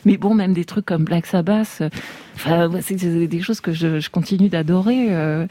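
A woman with a low, mellow voice talks reflectively as a musician guest on a radio show, pausing and restarting as she thinks. Her voice is close and dry, with no room echo. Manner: thoughtful, reflective, many hesitations. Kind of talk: radio interview conversation.

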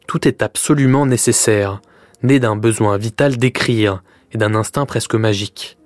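A man giving a measured, literary scripted introduction as a radio presenter. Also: lowish voice, no echo.

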